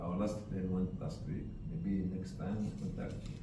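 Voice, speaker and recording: A man telling animated anecdotes to a group of staff, acting out the dialogue. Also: sits low, moderate pace. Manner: animated, acts out dialogue, laughs often. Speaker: charity founder telling stories to staff. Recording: echoey room from far off with background noise.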